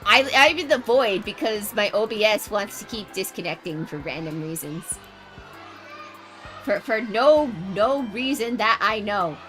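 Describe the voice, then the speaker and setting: A woman livestreamer reading game narration aloud with casual, animated, jokey commentary. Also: medium pitch, a little slow, soft background music, poor audio. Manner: animated, reactive, jokey exclamations.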